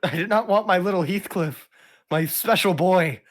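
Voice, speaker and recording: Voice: medium pitch, normal speed. An amateur voice actor reading game dub lines among friends, with a jokey manner and casual asides. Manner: jokey, laughs through lines. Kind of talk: fan dub line reading mixed with casual joking.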